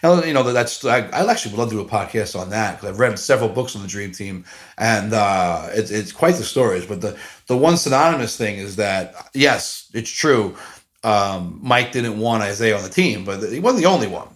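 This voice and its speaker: A man's voice: lowish voice, expressive, moderate pace. A man in his forties talking alone on a podcast, rambling and self-deprecating, with lots of fillers and restarts. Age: 40s. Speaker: podcast host. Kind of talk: casual solo podcast monologue.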